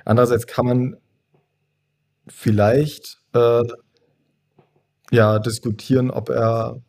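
Young man with a dark, husky voice. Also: on the low side. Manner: measured and thoughtful, hedging with fillers. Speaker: podcast co-host. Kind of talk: casual film-discussion chat.

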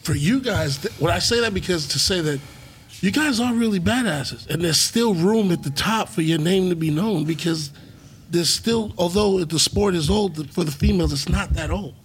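Rambling co-host with a raised voice. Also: male voice, sits high, moderate pace, a little hiss or hum. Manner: rambling, restarts, casual swearing. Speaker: podcast co-host. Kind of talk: casual podcast talk.

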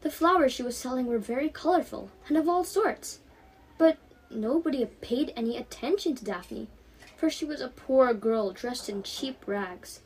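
A teenage young woman reading her own story aloud in an animated storybook style. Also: high-pitched, normal speed, slightly rough, poor audio.

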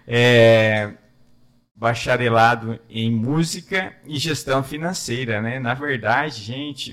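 A man's voice: mid-range voice, fairly bright tone. A man hosting a casual interview, joking and laughing often. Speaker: interviewer, music school owner.

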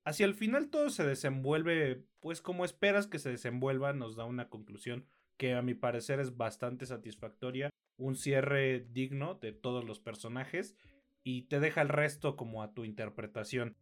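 A man with a Mexican accent and a mid-pitched voice talks through a film review as a podcast co-host. His delivery is conversational and informal, with slang and a habit of working out his thoughts aloud. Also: normal speed.

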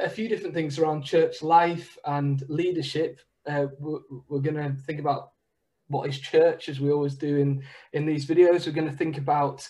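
Male host of a church leadership video, higher-pitched, encouraging and prompting, on a video call. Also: darkish tone. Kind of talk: interview hosting.

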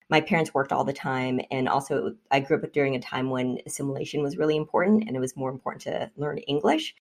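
A woman from Vietnam speaking as an interview guest, reflective and measured, often pausing with fillers. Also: a bass voice, vocal fry. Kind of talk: conversational interview.

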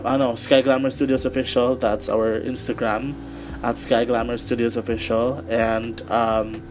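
Raspy-voiced man with a cold, rambling. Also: medium pitch. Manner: rambling with many fillers and restarts. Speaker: podcast host. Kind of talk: casual solo podcast talk.

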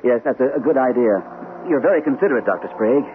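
An actor playing an anxious, hesitant army captain recovering from shell shock in an old-time radio drama. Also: male voice, mid-range voice, animated, natural voice. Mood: nervous, hesitant. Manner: hesitant, halting, nervous. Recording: muffled, narrow-band, noisy old radio recording.

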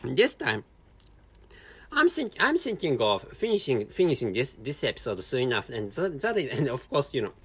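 Non-native male host, Japanese accent, weary and halting, animated pitch, casual solo talk. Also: breathy edge. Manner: halting, many restarts and repeated words. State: tired. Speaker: podcast host.

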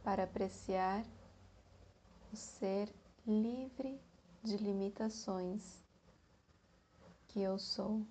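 A woman with a Brazilian Portuguese accent guiding a meditation, speaking gently and leaving long pauses between phrases. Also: medium pitch, fairly even intonation, slight background noise. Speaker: meditation guide. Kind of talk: guided meditation.

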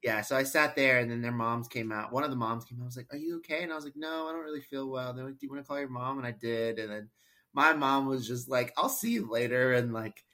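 A man's voice: mid-range voice, fast talker, sharp tone. A man co-hosting a podcast, telling a rambling, self-deprecating story in casual chat. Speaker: podcast co-host.

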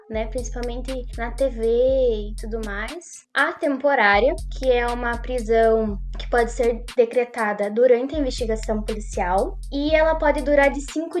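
A young woman with a high voice and a Brazilian Portuguese accent. She speaks as an informal expert guest, laying out explanations step by step, with faint music underneath. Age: young adult. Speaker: podcast guest, criminal defense lawyer. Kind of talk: casual explanatory conversation.

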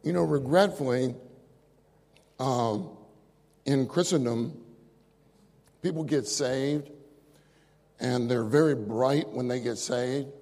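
A man preaching a sermon to a church gathering into a microphone, with a loud voice and phrases repeated for emphasis. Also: medium pitch, slow, pauses often. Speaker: speaker at a church conference. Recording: long hall reverb.

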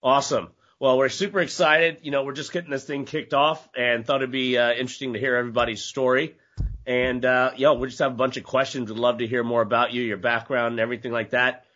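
A man hosts a casual podcast chat in a middle-pitched voice. He talks loosely, with plenty of filler words. The recording sounds a little dull and lo-fi. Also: steady tone, fast talker, bright, forceful tone. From United States. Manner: loose, peppered with "you know" and "uh". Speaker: podcast host.

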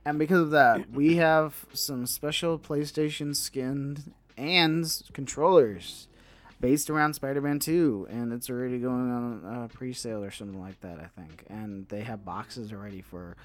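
A man's voice: mid-range voice, steady tone, moderate pace, no echo. A man co-hosting a gaming podcast in casual chat, talking in a hesitant, rambling way and often repeating words. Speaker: co-host of a gaming news podcast.

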